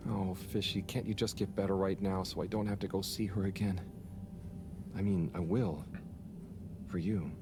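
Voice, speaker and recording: An actor voicing a gentle, anxious young man in a game, speaking softly and hesitantly. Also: low voice, steady tone, normal speed, pauses often, music in the background. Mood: worried. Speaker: game character.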